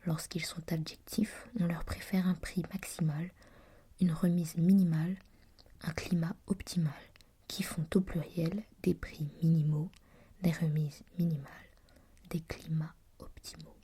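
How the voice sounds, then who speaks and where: A woman whispering as she reads aloud from a language reference book, softly musing and adding her own comments. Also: low-pitched, steady tone, husky. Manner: whispering throughout; soft and musing, pausing to comment. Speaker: reader.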